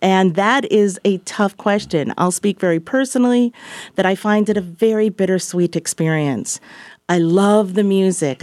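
A woman explaining as a scholarly guest in an interview, measured and articulate, setting out her points in order. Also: lowish voice, darkish tone, dry recording. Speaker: music scholar, guest commentator.

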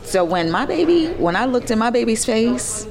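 A woman's voice: a little high, big pitch swings, moderate pace, gravelly, crowd noise. A woman in her fifties talking candidly and casually, reflective yet emphatic, often repeating her words of agreement. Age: 50s.

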